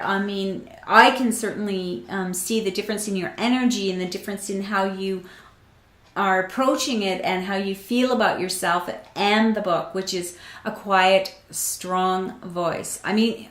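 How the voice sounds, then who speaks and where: Woman host, casual chat, affirming, restarts often, mid pitch, roomy echo. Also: steady tone, a little slow. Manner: warm affirming, many restarts and fillers.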